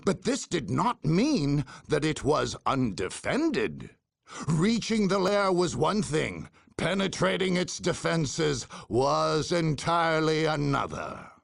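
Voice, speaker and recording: An actor narrating video game cutscenes as a grave, theatrical chronicler of far-future war, in a husky, gravelly voice with deliberate delivery. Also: male voice, a little high, sing-song, no room sound, clean studio sound, heavily acted. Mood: solemn, dramatic.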